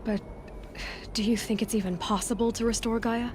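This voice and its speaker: A woman's voice: fairly high pitch, fairly even intonation, moderate pace, lightly acted. An actress playing a wary but determined young woman adventurer in a video game, plainspoken and a little hesitant. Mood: doubtful, resolute. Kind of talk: video game dramatic acting. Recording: loud ambient noise over voice.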